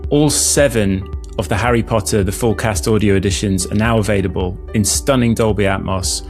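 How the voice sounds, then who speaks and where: A man with a fairly low voice hosts an interview. He is polite and measured, pausing a little as he goes, with light music behind him. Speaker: host. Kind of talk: interview hosting.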